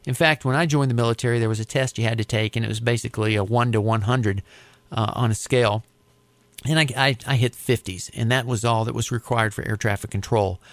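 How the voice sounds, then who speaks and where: A man's voice: medium pitch, steady tone, a little fast, no echo. Middle-aged American man, close dry recording. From United States. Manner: conversational, explanatory, with hesitant repeats. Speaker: interviewee, air traffic controller. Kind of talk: informal interview monologue, explaining a career.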